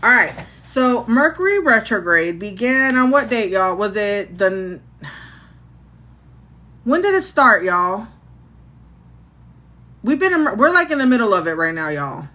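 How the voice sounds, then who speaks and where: A woman's voice: mid-range voice, sing-song, a little slow, long pauses, pushed and bright. Chatty woman with a country accent. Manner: chatty, talks to her chat. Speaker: tarot reader livestream host. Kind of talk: casual live chat.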